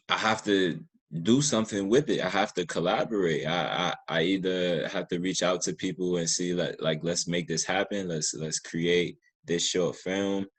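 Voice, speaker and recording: A man hosting a casual podcast interview, speaking in an earnest, effusive and encouraging manner. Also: low-pitched. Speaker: podcast host and writer.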